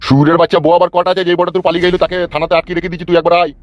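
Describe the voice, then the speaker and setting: A voice actor playing a rough, crude police officer. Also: medium pitch, level tone, rapid-fire, muffled recording, natural voice. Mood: bossy. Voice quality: gruff, threatening, swears a lot. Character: bullying male police officer, crude and bossy. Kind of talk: cartoon voice dubbing.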